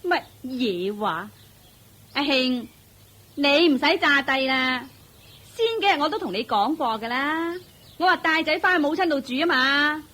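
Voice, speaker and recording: An actress playing a bitter, fed-up young wife in a radio drama, complaining with contempt in a Hong Kong Cantonese accent. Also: female voice, sits high, lively intonation, a little slow, sharp tone, exaggerated voice. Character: bitter, fed-up young wife scorning her poor husband.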